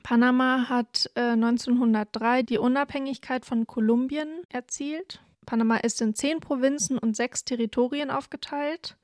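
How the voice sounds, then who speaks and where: A woman's voice: fairly high pitch, fairly even intonation, moderate pace, hardly any pauses, darkish tone, no echo, clean studio sound. A young woman born in Peru co-hosting a casual podcast, chatting and sharing prepared facts in a self-deprecating way. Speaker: podcast co-host. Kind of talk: casual chat with prepared fact segments.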